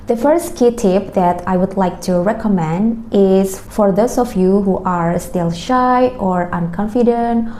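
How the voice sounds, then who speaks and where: Indonesian-native young woman teaching english tips, slow and careful, kinda dark mellow voice, roomy echo. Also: medium pitch, a little hiss or hum. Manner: slow, careful, explaining step by step. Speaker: language tutor. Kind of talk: talking-head lesson with tips. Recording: echoey room.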